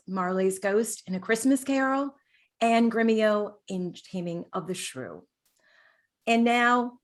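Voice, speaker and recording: A woman from New York City speaks at a medium pitch as co-host of an arts show. She moves between chatty remarks and prepared, read-out introductions. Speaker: co-host, actor and director.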